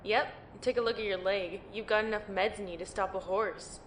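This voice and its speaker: A woman's voice: medium pitch, fairly even intonation, moderate pace, slight background noise, lightly acted. A woman playing a tough, no-nonsense lieutenant in an amateur audio drama, blunt and matter-of-fact. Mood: dry, wry. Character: tough, no-nonsense female lieutenant in powered armor. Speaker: voice actor playing a military lieutenant.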